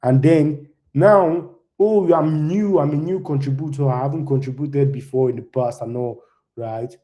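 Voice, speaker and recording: A man's voice: mid-range voice, mellow. A young man from Nigeria, whose first language is not English, gives a loose, conversational live demo talk and keeps tagging his points with "right?". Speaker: conference speaker.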